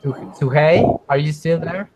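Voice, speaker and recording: Man, online English teacher, slow, patient, repeats himself, laptop mic. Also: fairly high pitch, a little mellow, slight background noise. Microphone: computer mic on a video call.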